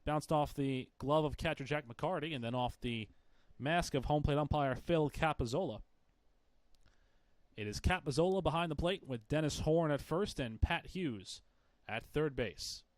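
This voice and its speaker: A man's voice: a little high, normal speed, no room sound. Male baseball play-by-play commentator, dry sound. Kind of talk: live sports commentary.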